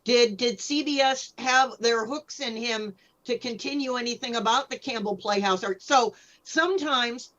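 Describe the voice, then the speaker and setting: Female panelist, fairly high voice, conversational questioning, slightly muffled lo-fi recording. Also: moderate pace, fairly bright tone. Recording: dull lo-fi audio.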